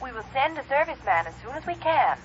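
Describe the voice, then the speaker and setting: An actress playing an officious, unyielding telephone operator with formal official phrasing, heard through a telephone filter in a 1950s radio drama. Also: female voice, high-pitched, big pitch swings, fast. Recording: dull, noisy old radio recording.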